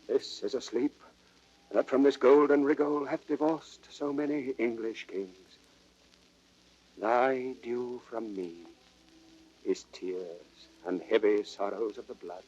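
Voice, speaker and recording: An actor as a solemn, slow-spoken young prince. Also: male voice, medium pitch, a little mellow, slight background noise, natural voice. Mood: hushed grief. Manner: stately, declamatory verse speaking. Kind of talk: classical Shakespearean radio drama acting. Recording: dull, band-limited old record sound.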